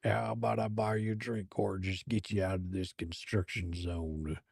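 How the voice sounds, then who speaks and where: Liam, a gravelly, drawling flirt played by a man. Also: sits low, steady tone, moderate pace, a little mellow, no echo, studio quality, full character voice. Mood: flirtatious. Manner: smooth, drawling, teasing. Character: Liam, cocky flirtatious male chef, arrogant and mischievous.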